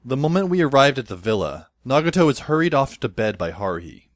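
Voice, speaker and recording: Man playing a wry, lively-pitched teenage narrator. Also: fairly quick, no echo, studio quality, lightly acted. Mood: calm, reflective. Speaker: narrator and lead character. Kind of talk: first-person scripted audio drama narration.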